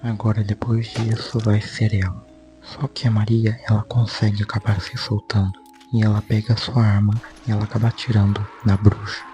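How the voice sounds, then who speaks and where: A Brazilian man narrates a story recap in an informal, conversational way. His voice is low and mellow, with a fairly flat delivery. Soft music plays behind him as he talks. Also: normal speed, low-quality recording. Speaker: YouTube host.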